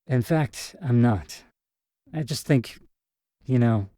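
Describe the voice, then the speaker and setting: A man's voice: mid-range voice, slow, warm tone, dry recording, clean studio sound, voice pushed up, close to an everyday voice. A man voicing a wary, candid young woman in a read short story, speaking frankly and a bit reproachfully. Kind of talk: audiobook character reading.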